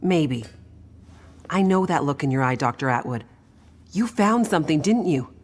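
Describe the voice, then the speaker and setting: A woman's voice: low voice, lively intonation, moderate pace. A woman playing a weary, earnest young woman scientist in a video game cutscene, hesitant in her phrasing. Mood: probing. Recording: music behind the voice.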